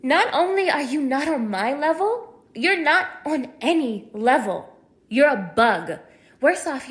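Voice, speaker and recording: A young woman acting a cruel, contemptuous captor in an audio roleplay, taunting one listener in a mocking, degrading manner. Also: sits high. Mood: furious disgust. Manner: mocking, degrading, swinging from hushed menace to shouting.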